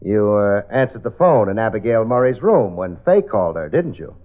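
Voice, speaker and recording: A man's voice: on the low side, lively intonation, normal speed, no echo, natural voice. An actor playing a hard-boiled male private eye who narrates in clipped, wry lines, in 1940s radio acting style. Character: tough adult male private eye, wisecracking. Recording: tinny old radio broadcast with music.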